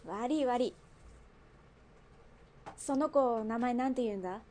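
A woman's voice: fairly high pitch, unhurried, dark tone, slight background noise, close to an everyday voice. A woman acting in a radio drama as a brash underworld gang boss, with blunt, mannish, teasing speech. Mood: musing.

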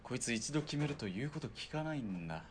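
A man playing a wry, casual ex-soldier turned hired killer in a radio drama, with rough, bantering speech. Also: mid-range voice, lively intonation, quick pace, a little hiss or hum, natural voice. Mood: easygoing.

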